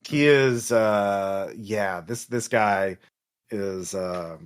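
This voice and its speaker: A man improvising narration as a game master, haltingly searching for words. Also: fairly low pitch, clear, resonant tone.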